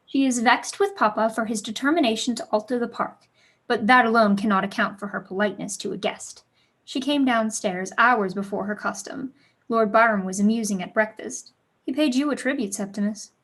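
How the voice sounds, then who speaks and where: A woman's voice: a little high, fairly quick, fairly bright tone. A woman playing a precocious, passionate teenage pupil in a staged period play, eager, witty and argumentative. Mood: eager, gossipy.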